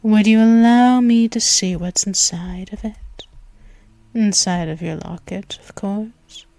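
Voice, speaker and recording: A seductive, menacing vampire queen, played by a woman, purring her words close to the mic with a slow, unhurried drawl. She drops into soft whispers often. The recording is dry and intimate, with no room sound. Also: medium pitch, fairly even intonation, no echo. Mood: teasing. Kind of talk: ASMR audio roleplay.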